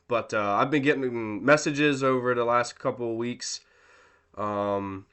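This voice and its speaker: Congested male vlogger, casual and rambling, smooth resonant tone under the stuffiness, lots of "um" and "right?". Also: medium pitch, a little slow, fairly bright tone. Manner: rambling, filler-heavy, self-deprecating. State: congested from a cold. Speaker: crypto channel host. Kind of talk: casual talking-to-camera market commentary.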